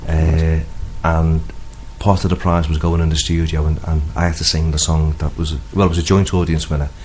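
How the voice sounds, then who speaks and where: Deep-voiced Liverpool man chatting hesitantly. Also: little pitch movement, moderate pace, darkish tone, a little hiss or hum. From Liverpool, England. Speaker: guest, singer-songwriter. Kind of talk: casual radio interview chat.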